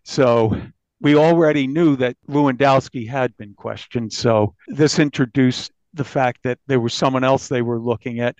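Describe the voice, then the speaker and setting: A man's voice: mid-range voice, moderate pace, a little mellow, dry recording, lo-fi sound. An American man recounting his investigative reporting conversationally as an interviewed journalist.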